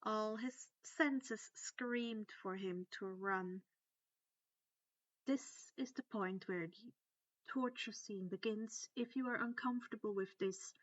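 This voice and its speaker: A woman's voice: medium pitch, no room sound. A woman narrator reading a scripted story expressively, recorded dry and slightly dull.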